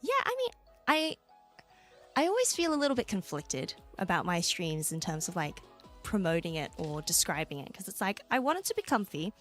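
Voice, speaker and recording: A young Australian woman streamer chatting casually with viewers, laughing often and jumping into high squeals. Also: big pitch swings, moderate pace. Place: home room. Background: soft background music.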